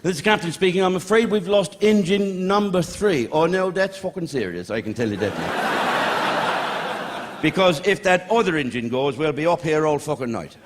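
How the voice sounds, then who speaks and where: A man's voice: a little high, animated, moderate pace, heavy background noise. A man doing a stand-up routine, telling a story with lots of swearing. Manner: swearing, storytelling, acting out voices. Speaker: stand-up comedian.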